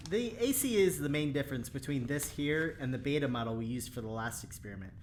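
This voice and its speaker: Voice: medium pitch, animated, a little fast, a little hiss or hum, close to an everyday voice. An actor playing an eager, easygoing lab engineer in an audio drama, talking in a chummy, folksy way. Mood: eager, cheerful. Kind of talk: scripted audio drama acting.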